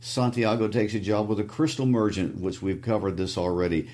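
Deep-voiced midwestern man explaining. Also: a little fast. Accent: Midwestern American. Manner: relaxed explaining, with fillers. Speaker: podcast co-host. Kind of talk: casual book discussion.

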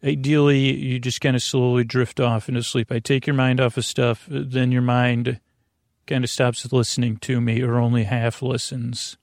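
Creaky-voiced man rambling, goofy and halting. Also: medium pitch, little pitch movement, no echo, clean studio sound. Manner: meandering, halting, trailing off and restarting; goofy, friendly banter. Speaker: sleep podcast host. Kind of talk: rambling, nonsensical bedtime monologue.

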